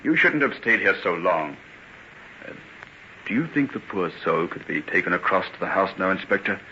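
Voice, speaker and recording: An actor playing a concerned, courteous gentleman doctor in an old-time radio drama, earnest and steadying. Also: low-pitched, lively intonation, moderate pace, heavily acted. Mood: grave, consoling. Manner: earnest, polite, soothing but urgent. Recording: dull, hissy old radio recording.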